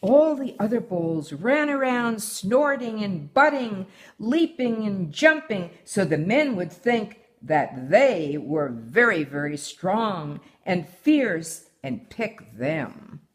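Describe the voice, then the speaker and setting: A woman's voice: medium pitch, big pitch swings, slow. An older woman reading a children's picture book aloud as a guest storyteller.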